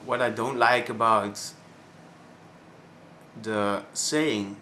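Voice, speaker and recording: A man of twenty-one from Belgium with a Flemish accent, his first language Dutch, speaking haltingly with many pauses in a personal vlog. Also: on the low side, fairly even intonation, bright, forceful tone, a little hiss or hum. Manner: hesitant, many ums and pauses. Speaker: vlogger.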